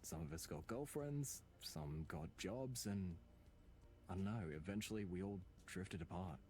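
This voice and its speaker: A man plays a troubled young man in a visual novel. His voice is fairly low and swings widely in pitch. He is earnest and full of self-doubt, with faint noise behind him. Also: animated, unhurried, darkish tone. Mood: troubled, confiding. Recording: faint background noise and ambience.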